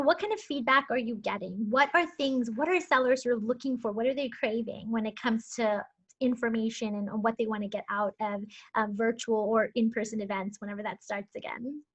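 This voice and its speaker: A woman hosting an online interview, relaxed and casual with frequent "you know" fillers. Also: on the high side, highs cut off. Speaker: host.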